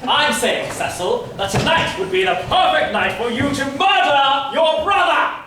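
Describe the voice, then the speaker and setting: An actor playing a probing, easily exasperated police inspector, speaking with theatrical pauses in a staged period murder mystery. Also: male voice, very high voice, lively intonation, bright, forceful tone, heavily acted. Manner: theatrical, deliberate, old-fashioned phrasing with dramatic pauses. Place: live staged reading in an echoey room. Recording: echoey room, distant mic, faint noise.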